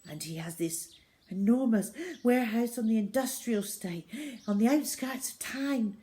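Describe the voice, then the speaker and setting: A woman's voice: a little high, normal speed, warm tone, pitched above the performer's own voice, lightly acted. A woman voicing a brave, urgent young girl in a children's storybook, pleading and insistent.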